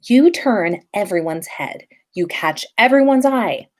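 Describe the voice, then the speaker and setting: A woman's voice: mid-range voice, expressive, slow pace. A woman voicing a haughty, easily flustered teenage schoolgirl from a storybook, indignant and snobbish. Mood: exasperated.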